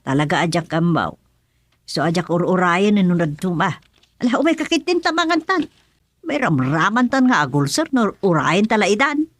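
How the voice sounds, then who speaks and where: A woman's voice: lowish voice, sing-song, normal speed. A woman with an Ilocano Filipino accent acting as a matter-of-fact, worried village mother in a radio serial. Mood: grumbling.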